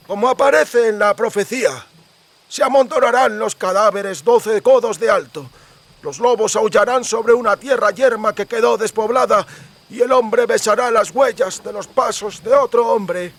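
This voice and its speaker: A man voicing a doom-laden merchant of amulets in an audiobook, declaiming ominous prophecies in slow, drawn-out phrases. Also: sits high, pitched above the performer's own voice. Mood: ominous, prophetic. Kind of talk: audiobook character acting.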